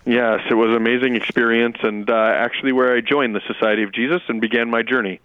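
A Jesuit priest speaking in a radio interview as a guest, reflective and measured, with fillers and restarts. His first language is Lithuanian. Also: mid-range voice, steady tone, clear, resonant tone, no echo. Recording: phone-like narrow-band radio audio.

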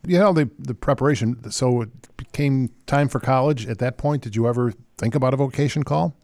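A man's voice: mid-range voice, brisk, a little mellow, dry recording. An American man hosting a conversational radio interview, asking questions of his guest in a studio.

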